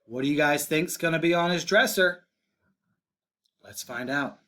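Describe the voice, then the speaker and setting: A man's voice: on the high side, moderate pace, sharp tone, slight background noise. A man reading a storybook aloud to children and putting questions to his young listeners, like a teacher.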